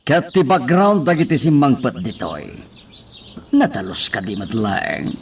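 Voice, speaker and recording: A man's voice: mid-range voice, very animated, unhurried, recorded from a distance, slight background noise. A man playing a scheming, commanding boss in a radio drama, with an Ilocano accent. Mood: smug.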